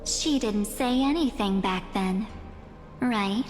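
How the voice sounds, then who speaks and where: A woman voicing a sly, unruffled body-stealing impostor in a video game, cool, teasing and deliberate. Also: medium pitch, natural voice. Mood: probing. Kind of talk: theatrical video game dialogue. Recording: long hall reverb, faint music. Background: faint music.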